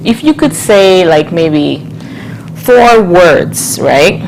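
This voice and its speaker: Low-pitched woman hosting an interview. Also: animated, extremely slow, creaky, a little mellow, a little hiss or hum, rough recording. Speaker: TV interview host. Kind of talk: conversational interview.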